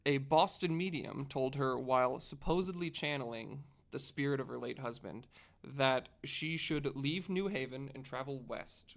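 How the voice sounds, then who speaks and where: A man on a podcast panel telling a story from notes in a casual, conversational way. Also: mid-range voice.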